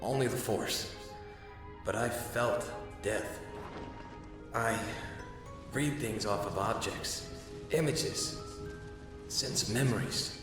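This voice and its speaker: A man playing a brooding, troubled young Jedi in a comic dub, speaking in a halting way. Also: on the low side, recorded from a distance. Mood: haunted, confessional. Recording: cavernous reverb, music underneath.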